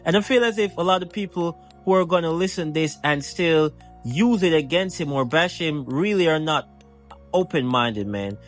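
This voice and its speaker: A man's voice: fairly high pitch, normal speed. A man giving casual, emphatic commentary, repeating his points and addressing the listener directly as a channel host. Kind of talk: casual reaction commentary to camera or mic. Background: music.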